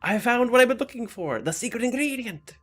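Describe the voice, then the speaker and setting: Man putting on an excitable, high-pitched restaurant sidekick. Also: brisk. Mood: excited. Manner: dramatic, eager, insistent. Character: excitable male Italian-named restaurant right-hand man.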